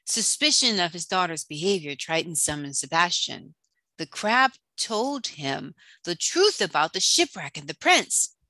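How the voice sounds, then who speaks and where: A woman's voice: medium pitch, no room sound. A woman hosting storytime, narrating expressively for children and speaking to them directly. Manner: expressive, addresses young listeners directly.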